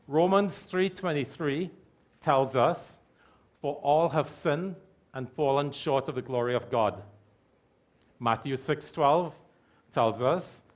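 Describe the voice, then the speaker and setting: A middle-aged man preaching a sermon from a church pulpit mic, repeating key phrases slowly for emphasis. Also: mid-range voice. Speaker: pastor. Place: church hall with stage curtains.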